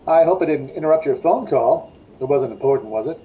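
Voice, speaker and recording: Woman playing low, menacing male stranger. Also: animated, a little fast, a little hiss or hum. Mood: brisk, courteous. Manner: insistent, controlling. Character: smooth-talking, menacing male stranger in a car. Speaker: actor in a radio play. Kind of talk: 1940s-style radio drama acting. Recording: dull video-call audio.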